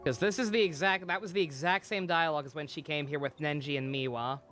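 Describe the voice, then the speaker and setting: A man's voice: on the high side, quick pace, fairly bright tone, soft background music. A man doing casual live game commentary, rambling and swearing as he thinks aloud. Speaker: video game streamer.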